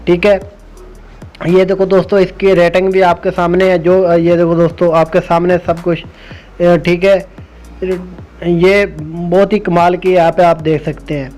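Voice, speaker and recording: A high-voiced man hosts an informal, step-by-step app tutorial. His delivery stays quite flat in pitch. Soft music plays behind him. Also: unhurried. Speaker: tech tutorial host.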